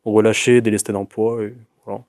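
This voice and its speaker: A young man of nineteen, a guest on a youth panel, answering in a thoughtful, measured way. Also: on the low side, little pitch movement, a little mellow, no echo, studio quality. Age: young adult. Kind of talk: casual discussion.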